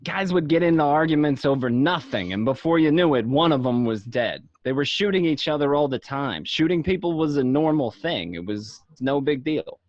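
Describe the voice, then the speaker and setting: A man's voice: a little high, expressive, moderate pace, hardly any pauses, no echo, lightly acted. An actor playing a blunt, matter-of-fact mobster narrating his life in an audio drama, recorded at home. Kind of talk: scripted first-person narration in an audio drama. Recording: dull, dry lo-fi home recording.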